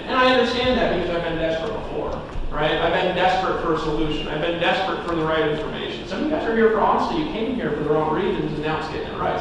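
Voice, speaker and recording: A high-voiced man, a little hoarse, talks to a crowd from a stage in a rambling, punchy way. His voice booms around a big echoey hall, picked up from far off with a steady layer of noise underneath. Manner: rambling, punchy, keeps asking "right?". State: losing his voice. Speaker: motivational speaker. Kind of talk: casual motivational stage talk. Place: large event room on a stage.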